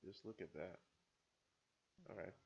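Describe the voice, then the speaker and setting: A man for whom English is a second language, calmly commenting on an anime episode in a casual, self-described stoic way, with dull lo-fi sound. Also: deep voice, unhurried, slightly rough. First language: not English. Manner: self-described stoic, muttering asides and apologies. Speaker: anime reaction video host.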